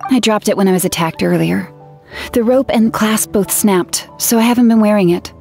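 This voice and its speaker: A woman's voice: medium pitch, normal speed, vocal fry, darkish tone, faint music behind, close to an everyday voice. A woman voicing a friendly young tribeswoman in a video game, speaking in an earnest, persuasive way.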